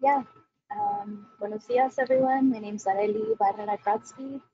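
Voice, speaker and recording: A woman from Mexico introducing herself on a video panel as a coffee shop owner, in a relaxed storytelling manner. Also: medium pitch, moderate pace, highs cut off, a little hiss or hum.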